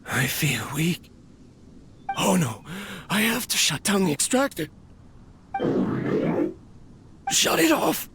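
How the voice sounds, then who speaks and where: An actor playing a lonely, ailing inventor in a video game, speaking in a melancholic, halting, sighing way. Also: male voice, high voice, huge pitch swings, background noise, exaggerated voice. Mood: weak, desperate. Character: weary, lonely, ailing male inventor talking to his machine. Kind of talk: dramatic game voice acting.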